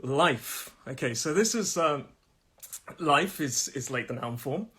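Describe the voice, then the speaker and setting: Slow, husky male English teacher. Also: on the high side. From England. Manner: relaxed, laughs between explanations, repeats words slowly. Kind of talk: live online lesson.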